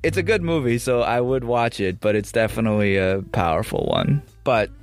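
A man's voice: mid-range voice, a little fast, soft background music. A man hosting a podcast in a casual, conversational discussion style. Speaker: podcast host.

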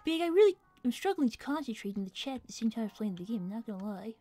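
A woman's voice: on the high side, very animated, quick pace, dark tone, soft background music. A woman voicing an earnest, clever young boy fox who chats candidly while thinking aloud on a gaming livestream. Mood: frustrated. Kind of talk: in-character gaming livestream chat.

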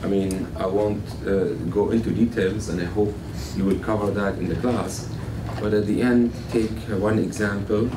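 A middle-aged man, giving a guest lecture to a college class, measured and full of fillers. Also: on the low side, fairly even intonation, noisy background. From Palestine. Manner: measured, many fillers, gestures with both hands. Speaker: guest speaker. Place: classroom with a blackboard. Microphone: far camera. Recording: echoey classroom from far off.

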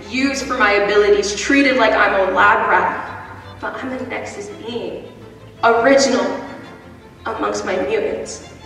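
A young woman performing a spoken word poem on a school stage, intense and building to forceful peaks. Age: teens. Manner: intense, rising to forceful peaks, then dropping low. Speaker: student poet. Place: school stage with red curtain. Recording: echoey hall from far off.

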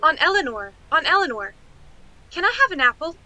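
Lady doing an eager kid niece in a radio play, high swoopy childlike voice, calling out. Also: sing-song, a little fast, sharp tone, a little hiss or hum, full character voice, low-quality recording. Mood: eager, pleading. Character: hungry, eager young niece calling to her aunt.

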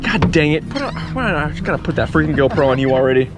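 An American man chatting casually and excitedly cheering on his partner during an outdoor fishing trip on a boat. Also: fairly high pitch, racing. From Michigan, United States. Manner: excitable, encouraging. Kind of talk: casual chat, cheering on wife. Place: outdoors on a small boat on a bay. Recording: outdoor boat audio under loud music.

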